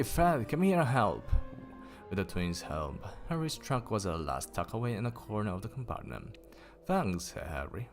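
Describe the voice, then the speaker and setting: A man streaming a casual story reading, raising his pitch for the characters and stopping to laugh and swear at typos. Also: gravelly. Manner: lifts pitch for quoted characters. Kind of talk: casual livestream book reading.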